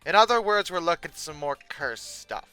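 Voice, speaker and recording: Comedy reaction host, super bright crisp voice, deadpan then exasperated, theatrical, dry mic w faint music. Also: mid-range voice, moderate pace, no echo. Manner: theatrical mock exasperation, swinging from deadpan to outbursts. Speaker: video host. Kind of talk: comedic commentary reacting to pictures.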